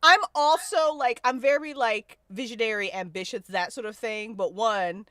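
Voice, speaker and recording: A woman hosting a casual panel chat, talking in an animated, slangy and emphatic way. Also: a little high, normal speed, no room sound, studio quality. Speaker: host leading a fan discussion panel. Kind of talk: casual panel chat about a fiction podcast.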